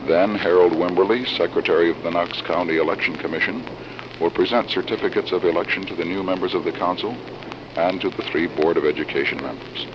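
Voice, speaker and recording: A man reporting live on 1940s radio in a formal, measured announcing manner, with a cold. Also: deep voice, steady tone. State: has a cold. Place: council chamber. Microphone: broadcast microphone. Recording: noisy, muffled 1940s radio broadcast recording.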